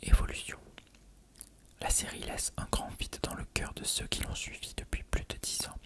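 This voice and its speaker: ASMR reader, fully whispered and breathy, for read-aloud factual text. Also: normal speed, sharp tone. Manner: reading aloud. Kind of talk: whispered ASMR reading of an encyclopedia article.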